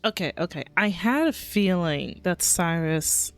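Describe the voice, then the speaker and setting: A woman's voice: on the low side, lively intonation, a little slow, soft background music. A woman streamer giving casual reaction commentary on a game, thinking aloud in short murmured remarks.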